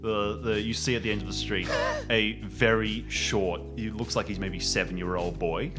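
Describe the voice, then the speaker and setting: A man running a tabletop game as game master, improvising descriptive narration in a halting way. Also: lowish voice, steady tone, music in the background.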